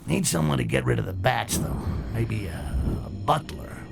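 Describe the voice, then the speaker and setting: A man voicing a cynical, sardonic goblin thief in a video game, muttering dry wisecracks to himself in a gravelly voice. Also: fairly low pitch, expressive, moderate pace. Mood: wry, musing. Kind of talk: video game character monologue. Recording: clear background noise, game ambience.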